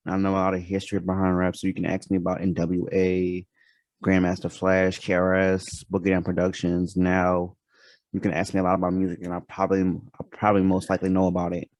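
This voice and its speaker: Man, very low voice, interview host, rambling with fillers, dry sound. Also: monotone, brisk, a little mellow, dry recording. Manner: rambling questions with many fillers and restarts. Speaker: podcast host. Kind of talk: casual interview.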